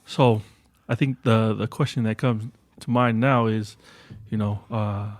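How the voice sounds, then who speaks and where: Host: low-pitched man asking loose, laid-back questions, dry close mic. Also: unhurried, dry recording. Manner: relaxed, filler-heavy questions. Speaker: interviewer. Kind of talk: casual podcast interview.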